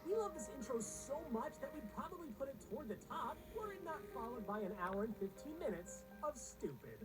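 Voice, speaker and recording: A woman's voice: sits high, big pitch swings, a little fast, low-quality recording. A woman narrating a scripted pop-culture countdown in an emphatic, slangy, wry manner. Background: music under the voice-over.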